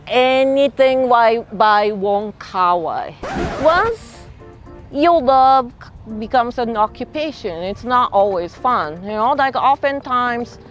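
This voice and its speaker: Slow-spoken woman with a Japanese accent. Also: on the high side. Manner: relaxed, thoughtful, frequent fillers like "you know" and "like". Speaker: freelance illustrator. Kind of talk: interview-style talk to camera. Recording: soft music behind.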